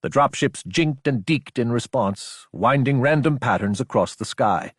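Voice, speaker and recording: A man narrates an action audiobook with a husky edge to his mid-range voice. His delivery is scripted, deliberate and dramatic. The sound is dry, with no room around it. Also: mid-range voice, animated, no room sound.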